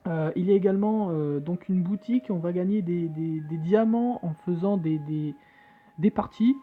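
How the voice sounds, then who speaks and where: Hesitant gamer over a tinny line. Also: male voice, high voice, normal speed. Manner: hesitant, many fillers, jokey asides; reads on-screen game text aloud. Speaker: gamer playing on a phone. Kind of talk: casual gameplay commentary. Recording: tinny phone-like screen recording with faint game music. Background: faint game music.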